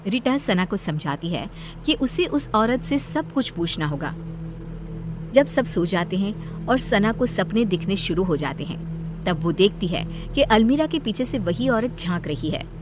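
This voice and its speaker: A woman narrating a scripted animated story. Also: medium pitch, a little slow, music in the background.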